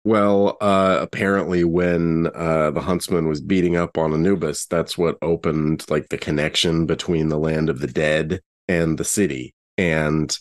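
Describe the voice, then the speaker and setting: Man as skeptical, earnest sleuth in a tabletop game, deep voice, feeling his way through sentences. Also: fairly even intonation. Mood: explaining. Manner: hesitant, halting. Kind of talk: improvised in-character tabletop dialogue.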